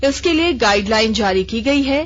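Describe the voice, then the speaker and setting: A woman newsreader reading a formal regional radio news bulletin in a measured, even way. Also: on the high side. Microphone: studio microphone. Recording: dull lo-fi radio broadcast.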